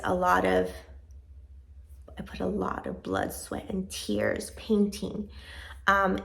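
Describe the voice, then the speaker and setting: Chatty woman with a gravelly edge. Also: mid-range voice. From born in Venezuela, raised in Georgia. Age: 30s. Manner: chatty, rambling, self-deprecating. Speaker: vlogger. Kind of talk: casual chat to camera.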